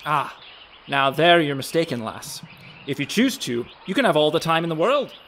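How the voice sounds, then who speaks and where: A man plays an enigmatic fairy keeper of the woods in a radio drama. His voice sits fairly high for a man and moves with an unhurried, gentle lilt. Faint birdsong surrounds him. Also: very animated, slight background noise. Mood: gently persuasive. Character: mysterious old male fairy guardian of an Irish forest, gentle, cryptic. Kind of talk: radio play acting. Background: birdsong, woodland ambience.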